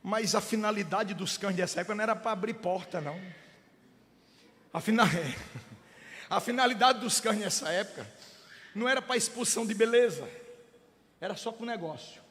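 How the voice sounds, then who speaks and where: High, shouting preacher in a hall. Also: male voice, moderate pace, long pauses. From Brazil. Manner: swings from soft storytelling to loud, shouting preaching. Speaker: pastor. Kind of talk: sermon. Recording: long hall reverb.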